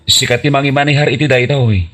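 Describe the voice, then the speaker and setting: A man playing an easygoing young helper in an Ilocano comedy radio drama, husky, with an Ilocano accent. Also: medium pitch, fairly even intonation, fast, a bit bright, soft background music, natural voice. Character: easygoing young man helping at a snack stall.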